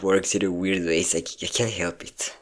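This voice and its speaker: Performer as fragile young best friend for intimate audio drama: low register, depressive, insecure, halting, emotionally open. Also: little pitch movement, close to an everyday voice. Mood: soft, affectionate. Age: young adult.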